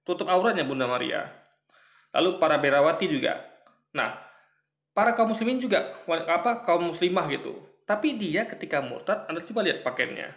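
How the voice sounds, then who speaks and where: A man giving a halting, filler-filled religious commentary on a video he is reacting to, often repeating himself and cutting in. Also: on the high side, gravelly, fairly bright tone. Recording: roomy echo.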